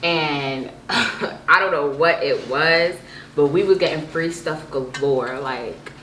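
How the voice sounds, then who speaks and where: A woman in her twenties chatting casually and telling stories in a playful, animated, slangy way. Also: sits low, slow pace. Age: 20s. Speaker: vlog guest telling stories with sibling. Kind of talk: casual chat, storytelling. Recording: echoey room with background noise.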